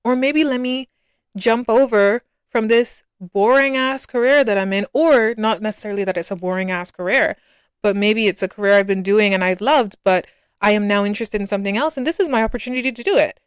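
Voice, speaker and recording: A woman's voice: medium pitch, fairly quick, smooth, dry recording, studio quality. A woman in her early twenties from Barbados, talking casually and reflectively as a solo podcast host, in a conversational, opinionated way.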